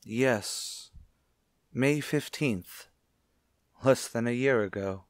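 A man acts a widowed father in an old-fashioned radio drama. He sounds anguished, self-pitying and theatrical, in a mid-pitched voice. The sound is close and dry. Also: extremely slow, dry recording. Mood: grieving, evasive. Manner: melodramatic, distraught. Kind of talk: old-time radio play acting.

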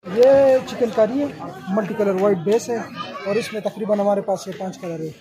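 A man's voice: high-pitched, normal speed, low-quality recording. A man in his thirties from Pakistan, a cloth shopkeeper persuasively listing fabrics, colors and prices to a customer. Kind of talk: sales pitch to a customer. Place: crowded cloth shop in a market. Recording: busy market crowd behind.

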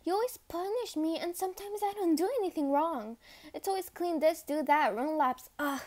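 Young female cadet, acted by a woman narrator, high voice, defiant yet flustered, storytelling drama. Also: a little slow, warm tone. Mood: angry, frustrated. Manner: stammering when flustered, openly emotional.

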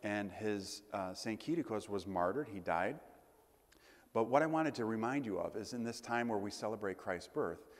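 A middle-aged man delivers a sermon in a friendly, halting way, his somewhat low voice dotted with ums and restarts. He speaks to his congregation in a church, and a long reverb trails each phrase. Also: animated. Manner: conversational, halting with fillers and restarts. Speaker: priest.

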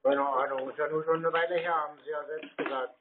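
A man giving terse, deadpan, evasive replies in casual speech during a phone call. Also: fairly high pitch, quick pace, slight background noise. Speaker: scam-baiting call recipient.

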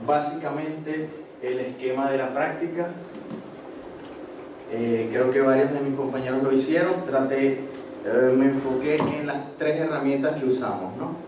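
A middle-aged man with a Venezuelan accent gives an informal presentation in a halting way, often adding little tags to check he is followed. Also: medium pitch. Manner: halting, with frequent tag checks. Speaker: course participant presenting, marine geology researcher. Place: medium-sized indoor seminar room. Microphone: handheld microphone through PA, picked up by far camera. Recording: echoey room, distant camera microphone, noisy.

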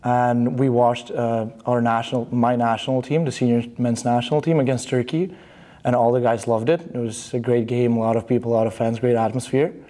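A young man from Bulgaria, a student athlete answering interview questions in a studio, relaxed and a bit halting, with fillers and restarts. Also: medium pitch. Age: young adult. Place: studio in front of a banner.

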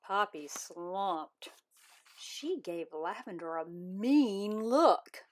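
A woman reading her children's story aloud as its author, in expressive storybook narration. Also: medium pitch, very slow.

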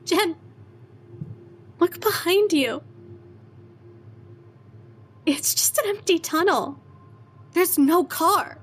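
A woman's voice: very high voice, extremely slow, pauses often, full character voice. An actress playing a young woman in a horror audio drama, a game but uneasy best friend with a casual, wry way of talking. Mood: panicked.

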